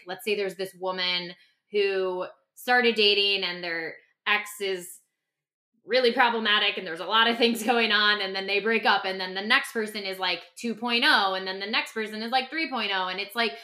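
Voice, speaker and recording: A woman's voice: mid-range voice, sharp tone. A woman author and podcaster explaining things conversationally, often voicing imagined dialogue as she goes. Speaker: podcast host and author being interviewed.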